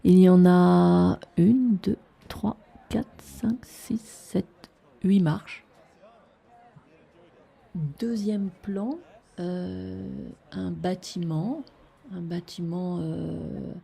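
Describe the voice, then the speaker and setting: Woman, unscripted picture describer: husky, creaky voice, tentative delivery with long hesitations. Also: on the low side, steady tone, dark tone. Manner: halting, tentative, thinking aloud. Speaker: describer of old photographs. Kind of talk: unscripted spoken description of a photo.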